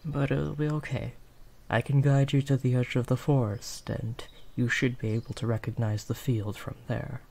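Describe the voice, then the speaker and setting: A man plays a kindly werewolf in an audio roleplay, protective and soothing. The voice is mellow and creaky, with slow, soft, hesitant delivery that drops close to a whisper. There is some background noise behind it. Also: mid-range voice, little pitch movement, close to an everyday voice. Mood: gentle, reassuring. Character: gentle, protective male werewolf comforting a lost sheep. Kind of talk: improvised comfort roleplay, soft and intimate.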